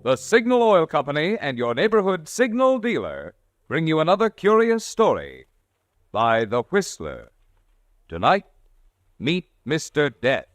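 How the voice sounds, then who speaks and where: A man's voice: on the high side, huge pitch swings, a little slow, no room sound, clean studio sound, soft background music. A man announcing a 1940s radio show and its sponsor in an emphatic, showy delivery.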